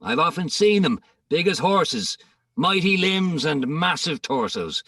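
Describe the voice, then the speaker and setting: A man's voice: on the high side, very animated, measured pace, a bit bright, no echo, heavily acted. A man playing a boastful old man pleading before a court, in a declamatory dramatic reading of comic verse, recorded dry and slightly distant. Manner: declamatory, rhythmic, emphatic.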